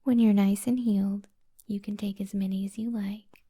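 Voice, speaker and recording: A woman playing a kind, flirty witch healer in an intimate ASMR roleplay, speaking in a soft, breathy, often whispered voice with gentle teasing. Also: medium pitch, steady tone, lightly acted. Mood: amused, teasing. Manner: slow, soothing, gently teasing.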